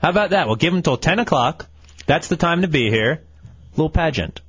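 A man's voice: fairly high pitch, expressive, fairly quick, a bit bright, breathy edge, no echo, lo-fi sound. A man running the board on a radio show, chiming in defensively during casual banter. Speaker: board operator.

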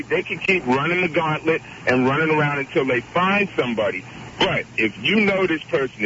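A deep-voiced man calling in to a radio talk show, earnest and wordy, often cutting in to make his point. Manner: earnest, wordy, interrupts with repeated "wait a minute". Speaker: caller. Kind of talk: radio call-in chat. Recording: dull radio audio with clear hiss.

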